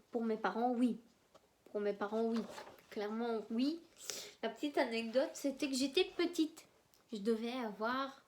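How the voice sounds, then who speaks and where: Young woman, fairly high voice, rambling casual vlog story. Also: vocal fry, a little mellow. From German-speaking Belgium. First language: German. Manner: rambling, repeats herself, hesitant. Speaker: vlogger.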